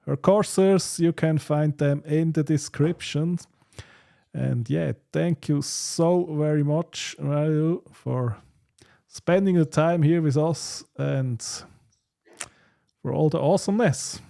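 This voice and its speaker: A man hosting a casual livestream chat, speaking in halting, hesitant phrases. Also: a little high, creaky, warm tone. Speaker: livestream host.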